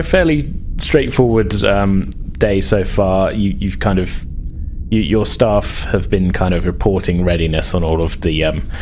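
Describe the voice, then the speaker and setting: A man's voice: deep voice, a little hiss or hum. A man improvising scene narration as game master, in a hesitant, conversational way.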